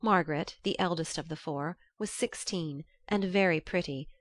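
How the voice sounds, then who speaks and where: A woman's voice: low voice, normal speed, dry recording, clean studio sound. A woman reading literary fiction as an audiobook narrator.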